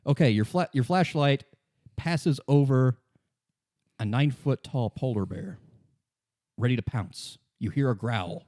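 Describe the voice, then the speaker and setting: Halting man running a tabletop game. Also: medium pitch, animated, dark tone, no echo, studio quality. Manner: halting, repeats words. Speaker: game master. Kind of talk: casual tabletop roleplay narration.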